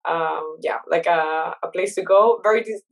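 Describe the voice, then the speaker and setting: A woman's voice: fairly low pitch, moderate pace, gravelly, poor audio. A woman in her thirties from Chile with a Chilean Spanish accent, speaking English as a second language as an interview guest, thoughtful and full of fillers and restarts. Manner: thoughtful, many fillers and restarts, laughs often. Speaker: guest artist. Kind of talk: casual interview chat.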